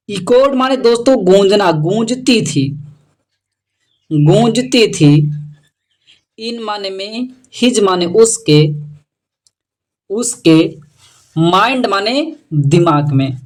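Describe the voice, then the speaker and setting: A teacher with an Indian accent giving a word-by-word reading lesson, explaining deliberately and often repeating each word and its translation. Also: medium pitch. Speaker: English teacher.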